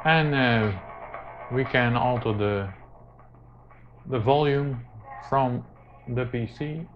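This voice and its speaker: Slow, halting man giving a tech tutorial. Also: mid-range voice. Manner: halting, very frequent hesitations. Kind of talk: informal hands-on tutorial narration. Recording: muffled lo-fi mic, music behind.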